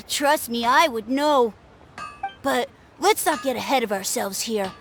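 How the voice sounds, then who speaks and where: High-pitched, boastful little boy. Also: slow, noisy background. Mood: suspicious, boastful. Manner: pompous, blustering. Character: boastful, suspicious little boy who fancies himself a warrior. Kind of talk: video game voice acting.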